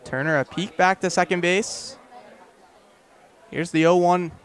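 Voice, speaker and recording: A young man doing live radio baseball play-by-play in clipped phrases that build to excited calls. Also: high-pitched, unhurried, some chatter behind. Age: young adult. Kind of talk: live radio sports commentary.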